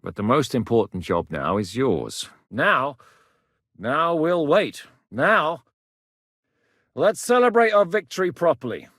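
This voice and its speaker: A man voicing a blunt, steady mercenary captain in a video game, gruff and plain-spoken, recorded in a dry studio booth. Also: medium pitch, takes it slowly, dry recording, exaggerated voice. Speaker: mercenary leader.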